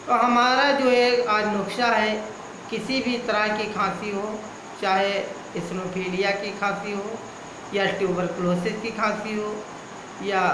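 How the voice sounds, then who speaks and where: Male Ayurvedic healer, steady repetitive remedy explainer, echoey room with background noise. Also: high voice. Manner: repetitive, lists ailments one after another.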